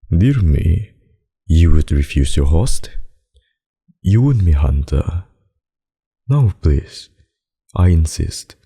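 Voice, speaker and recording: Man acting a very deep, gravelly suave vampire. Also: mellow, exaggerated voice. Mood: teasing, menacing. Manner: formal, courtly, teasing, seductive endearments. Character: suave, possessive, menacing male vampire, darkly courting his captive. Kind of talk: ASMR roleplay, dramatic monologue.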